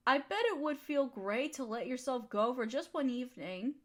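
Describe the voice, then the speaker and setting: A woman's voice: sits high, lively intonation, normal speed. A woman playing an affectionate, teasing girlfriend in an intimate comfort roleplay, soothing and cooing as she pampers her partner. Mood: teasing, tender. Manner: cooing, soothing pet-talk. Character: affectionate, teasing, nurturing girlfriend of a fox spirit. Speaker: audio roleplay voice performer.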